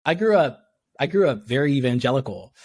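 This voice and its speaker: A young man from Tanzania, a podcast guest, chatting casually and acting out quotes with sudden jumps in pitch, laughing often. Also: big pitch swings, a little fast, a little mellow, no room sound. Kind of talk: casual interview chat.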